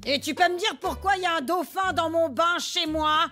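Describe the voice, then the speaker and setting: A woman voicing a high-strung, apologetic mother in a cartoon. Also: normal speed, sharp tone, soft background music. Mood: upset. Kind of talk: animated cartoon dub.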